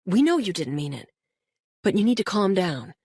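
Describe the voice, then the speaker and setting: A woman reading an audiobook and voicing a calm adult woman investigator who speaks in a steady, reassuring way. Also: medium pitch, moderate pace, slightly rough, dry recording, studio quality.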